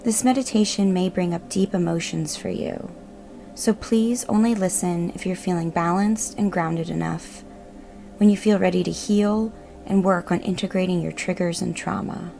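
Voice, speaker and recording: A woman narrating a guided meditation in a gentle, measured, reassuring manner. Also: lowish voice, steady tone, vocal fry. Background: meditative music.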